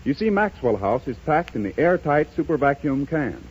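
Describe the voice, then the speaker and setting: A man's voice: on the high side, big pitch swings, moderate pace, darkish tone, husky, lo-fi sound. An American man reading a scripted 1930s radio advertisement as announcer, earnest and emphatic like a salesman, on a vintage broadcast recording.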